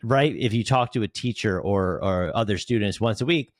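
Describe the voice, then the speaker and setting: Man, low voice, dry close sound, podcast host, conversational. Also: normal speed, dry recording. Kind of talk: casual interview chat.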